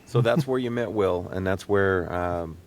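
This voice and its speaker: Very low-voiced male podcast host. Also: a little slow. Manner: encouraging, appreciative. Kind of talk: casual interview hosting. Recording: clear low rumbling background noise.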